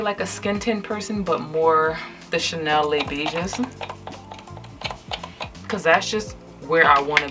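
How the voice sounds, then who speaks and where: A tired young woman from Trinidad and Tobago with a light Trinidadian accent, chatting candidly to her camera while she does her makeup at home, with lots of fillers and restarts. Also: sits low. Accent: Trinidadian English, lightly. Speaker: vlogger. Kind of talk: casual chat to camera while doing makeup. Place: indoors at home, night.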